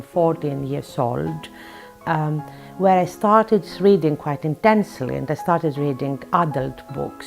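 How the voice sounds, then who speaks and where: A woman's voice: low voice, a little mellow. A woman in her forties from Greece, an author and psychotherapist, answering interview questions in a reflective way with frequent ums. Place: her office.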